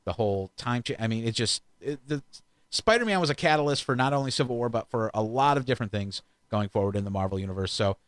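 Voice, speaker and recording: A man co-hosting a podcast in relaxed casual chat, rambling with restarts. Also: mid-range voice, quick pace, no echo. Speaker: podcast co-host.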